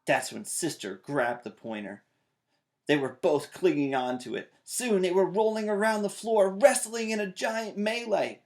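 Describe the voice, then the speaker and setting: A man's voice: high-pitched, unhurried, a little mellow. A man, reading aloud to children. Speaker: storytime reader. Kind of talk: animated children's read-aloud. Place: small child's bedroom indoors.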